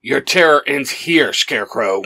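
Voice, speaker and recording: A man's voice: mid-range voice, expressive, unhurried, vocal fry, pushed and bright, heavily acted. Man as a firm, protective superhero. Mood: defiant. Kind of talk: audio drama acting.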